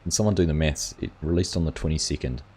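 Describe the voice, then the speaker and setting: Very deep husky man. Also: quick pace, a little mellow. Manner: rambling, reads chat aloud and answers viewers. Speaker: gaming livestream host. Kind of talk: casual livestream chat reading viewer comments.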